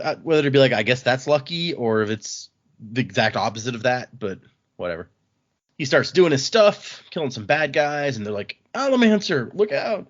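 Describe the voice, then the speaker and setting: Man casually recapping a book. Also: fairly low pitch. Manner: chatty, loose summarizing with fillers. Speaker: podcast host. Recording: slightly muffled lo-fi audio.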